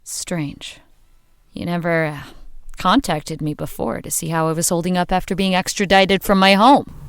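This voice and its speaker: An actress playing a guarded grieving daughter, curt and sardonic. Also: female voice, fairly low pitch, steady tone, normal speed, clean tone, natural voice. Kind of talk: audio drama acting.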